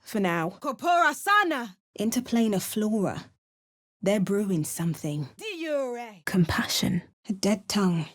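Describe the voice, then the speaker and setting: A woman's voice: mid-range voice, unhurried. A woman with an English accent voicing a hardened, wry female adventurer in a video game, making dry remarks and chanting spells. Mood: incanting, then curious. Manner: chants spell words in a lively, sing-song way. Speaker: player character.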